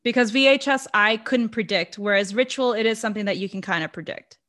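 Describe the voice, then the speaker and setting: A woman's voice: mid-range voice, fast talker, fairly bright tone. A woman from Brazil, a podcast co-host, chatting about a horror movie with lots of fillers and laughter. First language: Portuguese. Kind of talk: casual movie review chat.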